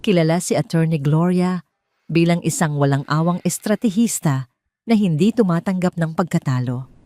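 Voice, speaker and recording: A woman's voice: on the low side, moderate pace, dark tone, dry recording. A woman with a Filipino accent narrating a scripted dramatic audio story.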